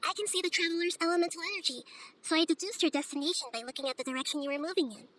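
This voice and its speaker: A woman voicing a small, wise child goddess in a game, speaking in a soft, youthful, measured and precise way. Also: extremely high pitch, slight background noise. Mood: composed. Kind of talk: anime-style game dialogue. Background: background music.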